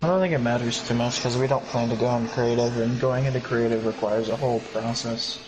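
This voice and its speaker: A man chatting during a game, speaking calmly and in a matter-of-fact way. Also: medium pitch, brisk. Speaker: fellow admin. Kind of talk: casual chat while gaming. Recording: clear background noise.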